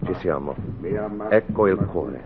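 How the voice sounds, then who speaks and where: An actor playing a tense, commanding surgeon in a 1940s radio drama, speaking tersely. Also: male voice, sits low, expressive, unhurried, creaky, over a noisy crowd, full character voice. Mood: tense focus. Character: tense, focused surgeon mid-operation. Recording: muffled old radio, voices behind.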